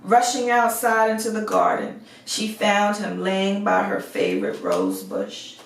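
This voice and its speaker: A woman reading a fairy tale aloud as a storyteller, in a measured, expressive way. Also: medium pitch, a little hiss or hum. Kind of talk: scripted fairy tale narration. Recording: echoey room, distant mic.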